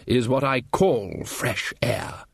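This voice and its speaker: A man's voice: mid-range voice, takes it slowly, gravelly, husky, dry recording, clean studio sound, heavily acted. A man voicing a hearty, pompous older wizard, jovial and cheerfully tactless in his exclamations.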